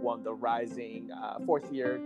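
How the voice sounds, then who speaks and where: Guest, man, late 20s: relaxed storyteller, filler-heavy, laughs through anecdotes. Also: mid-range voice, a little slow, vocal fry, muffled recording, music in the background. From China. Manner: easygoing, laughs while telling stories, many fillers. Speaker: chief resident guest. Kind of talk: casual podcast interview.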